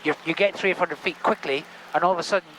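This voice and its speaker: A man's voice: sits high, fairly even intonation, fast talker, a bit bright, poor audio. A man instructing in flight over a cockpit headset, casual, reassuring and sweary, with engine noise. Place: small helicopter cockpit in flight. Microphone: aviation headset.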